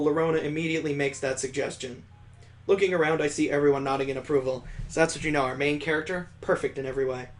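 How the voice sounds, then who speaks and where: A man reading a visual novel aloud as a streamer, breaking off for sarcastic, amused asides. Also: on the high side. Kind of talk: reading game text aloud with joking asides.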